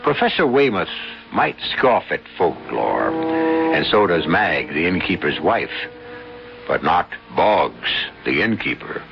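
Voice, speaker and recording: A man hosting and narrating a radio mystery in a measured, formal, theatrical style. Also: low voice, very animated, creaky, over music. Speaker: host and narrator. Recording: muffled vintage radio recording.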